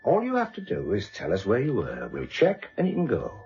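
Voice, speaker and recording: Sardonic British police inspector, wildly varied intonation. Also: male voice, fairly low pitch, huge pitch swings, darkish tone. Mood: calm, shrewd. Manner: sardonic, unhurried, needling. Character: wry, probing senior male police inspector. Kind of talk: naturalistic radio drama acting. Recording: dull lo-fi radio recording, music behind.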